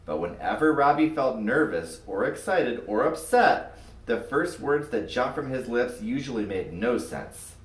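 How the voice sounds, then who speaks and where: Animated male storybook reader, roomy and distant. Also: mid-range voice. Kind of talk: animated scripted children's story narration. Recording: roomy echo, far from mic.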